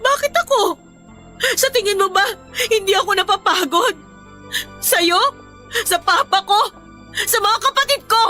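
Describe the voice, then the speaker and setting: A woman's voice: extremely high pitch, bright, forceful tone, full character voice. A woman with a Filipino accent playing a betrayed, hot-tempered young fiancée in an emotional, melodramatic radio drama style. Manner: melodramatic, emotional, pleading and accusing.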